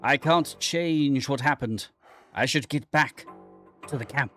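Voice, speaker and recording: A man's voice: mid-range voice, sharp tone, soft background music. A man voicing an anguished, brooding man who fled into the wilderness, read dramatically from a horror visual novel. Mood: grave. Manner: dramatic, literary, deliberate.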